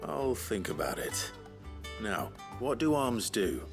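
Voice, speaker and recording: Calm kindly mentor, low voice, measured and encouraging, game dialogue over music. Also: male voice, exaggerated voice. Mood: patient, encouraging. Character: calm, kindly grown man, patient mentor to children. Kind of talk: video game dialogue acting.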